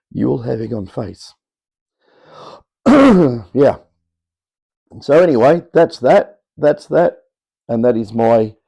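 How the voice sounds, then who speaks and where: Middle-aged Australian bloke, gravelly and husky, offhand workshop chatter, camera mic in a cluttered room. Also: male voice, mid-range voice, dark tone. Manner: rambling, offhand, chatty explaining. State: croaky from talking all day. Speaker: electronics hobbyist host. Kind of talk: casual workshop demo. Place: cluttered home workshop, indoors. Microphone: camera mic on tripod.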